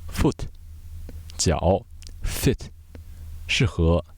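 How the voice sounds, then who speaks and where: Low-voiced, slow male vocabulary teacher. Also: sing-song, vocal fry, breathy edge, no room sound. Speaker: vocabulary teacher giving Chinese glosses. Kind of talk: scripted vocabulary explanation, word by word.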